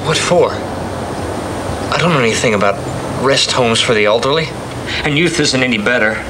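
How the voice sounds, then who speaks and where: An actor playing a frustrated, bitter writer in a radio-play drama, cynical and declamatory, on a lo-fi analog recording. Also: male voice, mid-range voice, moderate pace, natural voice. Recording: very noisy lo-fi analog film transfer.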